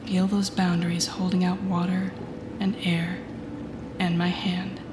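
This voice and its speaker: A woman playing a telepathic villain, a guarded and intense mentor with a secretly tender side, in an intimate audio roleplay with slow, breathy, deliberate delivery. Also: on the low side, level tone, noisy background, natural voice. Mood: soft, coaxing. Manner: slow, deliberate, often dropping into breathy near-whispers. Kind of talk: intimate audio roleplay acting addressed to the listener. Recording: roomy echo with music behind.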